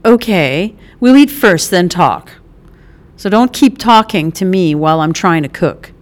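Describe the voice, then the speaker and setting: A woman reader voicing a brash, affectionate middle-aged mother just out of prison, loud, bossy and teasing, full of motherly endearments. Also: on the low side, expressive, measured pace.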